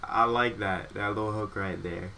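Low-voiced young American man, casual and slangy. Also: normal speed, vocal fry. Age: 20s. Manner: excitable, repeats phrases. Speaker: reaction video host. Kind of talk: casual slangy reaction commentary. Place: small bedroom, indoors. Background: hip hop music playing.

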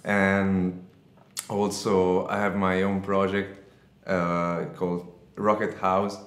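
A young man from Italy, a guitarist, giving short, halting, agreeable answers in a casual interview. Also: low-pitched, flat delivery, roomy sound. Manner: brief, halting, agreeable replies with non-native phrasing. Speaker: guitarist guest. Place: small bright studio room. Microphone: studio condenser mic on stand.

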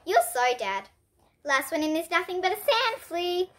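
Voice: very high voice, very animated, a little slow, pitched above the performer's own voice, full character voice. A child reader voicing a cheerful, imaginative little boy from a storybook, eager and confident. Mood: cheerful, bossy.

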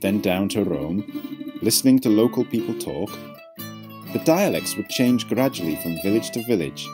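Low-voiced man narrating, lively intonation. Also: normal speed, over music. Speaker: narrator of an educational language video. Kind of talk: scripted narration.

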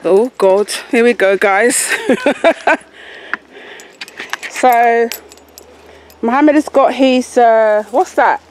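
A woman's voice: a little high, extremely slow, long pauses, darkish tone. A woman with a London accent chatting casually to a handheld camera as she vlogs. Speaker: vlogger. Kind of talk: casual vlog chat. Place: outdoors on a village path and at a small shop. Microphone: handheld camera at arm's length. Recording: clear outdoor and room noise.

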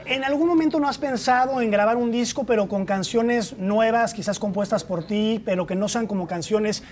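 A woman's voice: a little high, normal speed, a crowd in the background. A woman co-hosting a TV talk show, asking a guest questions with frequent encouraging backchannels in a studio. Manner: encouraging, lots of short backchannels. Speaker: TV show co-host. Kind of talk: casual interview chat. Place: TV studio set.

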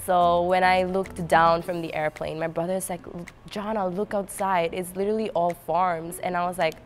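A woman's voice: fairly low pitch, steady tone, moderate pace, a little hiss or hum. Young Nepali woman, chatty student. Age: young adult. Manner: chatty, many fillers. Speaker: international student. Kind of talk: casual interview. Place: indoor lounge with fireplace.

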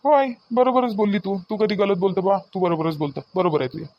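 A high-pitched, quick-talking young cartoon son played by a man with a Varhadi Marathi accent. He is earnest and anxious. His words hurry along and circle back on themselves. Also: expressive, highs cut off, a little hiss or hum. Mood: agreeable. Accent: Varhadi Marathi of Vidarbha. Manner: earnest, hurried, repetitive. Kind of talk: family cartoon dialogue.

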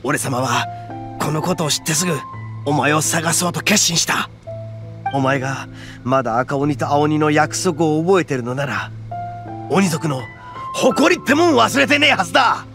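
Brash proud oni fighter, rough swagger, raised voice, game music underneath. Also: male voice, a little high, lively intonation, moderate pace. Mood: proud, indignant. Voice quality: gravelly, swaggering rough speech. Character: brash boastful male oni brawler, rowdy and proud. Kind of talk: Japanese game voice acting.

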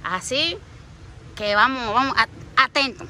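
A woman hosting a casual interview, lively, teasing, and speaking straight to the viewers. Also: sits high, slow, a little hiss or hum. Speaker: interviewer, host.

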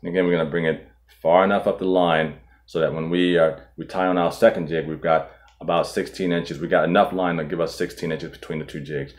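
Calm guy w a real low voice showing how to tie a knot step by step, indoors at a table. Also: a little fast. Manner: calm, methodical, walks through each step. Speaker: fishing channel host. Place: indoor studio in front of a boat.

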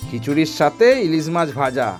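Man acting a high-voiced, polite cartoon husband. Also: a little fast, soft background music, close to an everyday voice. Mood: grateful, friendly. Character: worried, courteous middle-class husband caught in a storm.

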